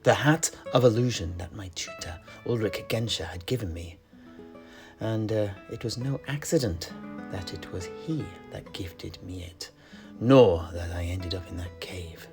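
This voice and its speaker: Low, slow, secretive tavern keeper played by a man. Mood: secretive, dramatic. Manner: measured, deliberate, hushed at phrase ends. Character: grave, secretive tavern keeper recounting old adventures. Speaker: storyteller, host of in-universe chat. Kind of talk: in-character fantasy storytelling. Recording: music behind the voice.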